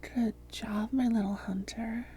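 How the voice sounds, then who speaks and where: A haughty, seductive vampire woman, acted by a woman, speaks in a very dark, soft voice full of breath and fry. She often sinks into a whisper, slowly coaxing and teasing with smug superiority, in the style of an intimate hypnosis roleplay. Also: a little high, fairly even intonation, full character voice. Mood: coaxing, commanding. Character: haughty, seductive female vampire hypnotist toying with a captured hunter.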